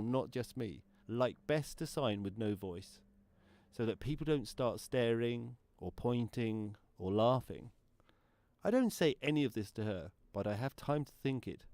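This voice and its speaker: A man's voice: mid-range voice, a little mellow, no room sound, lightly acted. An actor playing a shy, earnest deaf man who confides his story in a radio play monologue.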